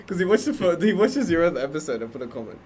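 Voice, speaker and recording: Loud, skeptical young man. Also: a little high, big pitch swings, a crowd in the background. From Australia. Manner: loud, skeptical, quick to protest. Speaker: podcast host. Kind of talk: casual podcast chat.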